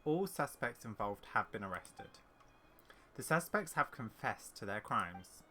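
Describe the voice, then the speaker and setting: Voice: medium pitch, sing-song, pushed and bright. A gaming streamer giving casual playthrough commentary and reading game text aloud. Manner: halting when reading aloud. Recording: faint background noise.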